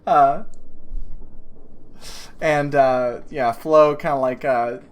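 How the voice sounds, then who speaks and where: A woman's voice: a bass voice, fairly even intonation, very slow. A woman narrating as game master in a tabletop game, talking loosely with many fillers and restarts. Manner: loose, hesitant, full of fillers.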